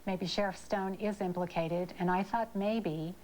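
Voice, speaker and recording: A woman's voice: medium pitch, fairly even intonation, measured pace, a little mellow, a little hiss or hum, poor audio. A woman speaking informally as an interviewee in a news soundbite. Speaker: parent interviewee.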